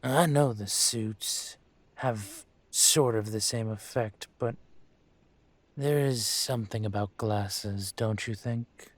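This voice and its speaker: A man playing an aging dragon mafia boss in a roleplay audio drama, speaking in a smooth, deliberate, courtly manner with veiled menace. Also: lowish voice, dry recording. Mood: wry, self-satisfied. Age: older.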